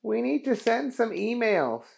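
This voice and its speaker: A storyteller voicing an eager, kind-hearted fellow with a lifted, earnest voice. The tone is a little gravelly and the delivery is unhurried. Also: medium pitch, big pitch swings, darkish tone, voice pushed up, exaggerated voice. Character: eager good-hearted man, friendly.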